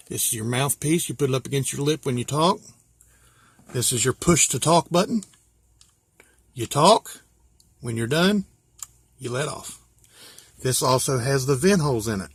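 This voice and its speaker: A man's voice: mid-range voice, husky, no echo. An American man giving a casual hands-on walkthrough as a hobbyist, explaining things step by step in a plain-spoken, folksy way. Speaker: hobbyist presenter.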